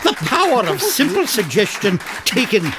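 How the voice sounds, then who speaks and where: An actor playing a theatrical stage hypnotist in an audio drama, with a grandiose, commanding manner. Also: male voice, high-pitched, wildly swooping pitch, moderate pace, a crowd in the background. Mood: triumphant pitchman.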